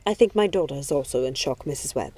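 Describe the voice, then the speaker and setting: A woman as older noble mamma, reproving, composed, commanding, mellow tone, storybook. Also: on the low side, expressive, normal speed, no echo. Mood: gentle. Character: testy older aristocratic mother, stern but composed.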